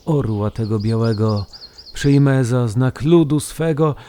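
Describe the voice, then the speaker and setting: An actor playing a solemn, devout Slavic prince in an audio drama, speaking in a stately, declamatory way. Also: male voice, medium pitch, unhurried, mellow, slight background noise, close to an everyday voice. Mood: solemn, reverent. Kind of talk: audio drama acting in archaic, elevated language.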